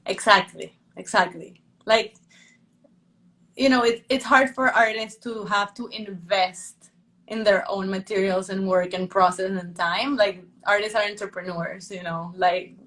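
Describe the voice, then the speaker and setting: A woman's voice: mid-range voice, fairly even intonation, unhurried, fairly bright tone. A woman from Venezuela, an art curator talking casually in an interview, thoughtful and full of fillers and restarts.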